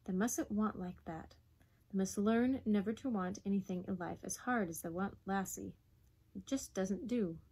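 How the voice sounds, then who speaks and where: A woman's voice: mid-range voice, lively intonation, moderate pace, breathy edge. A woman voicing a weary, exasperated working-class mother in a story, firm but patient, using old dialect words. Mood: soft, wise. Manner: plain dialect speech with thee and thy.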